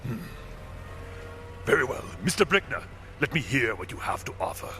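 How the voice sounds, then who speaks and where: An actor playing a suave, coolly polite businessman villain in a radio drama, with formal, measured, clipped speech. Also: mid-range voice, very animated, sharp tone, soft background music. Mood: smooth, commanding.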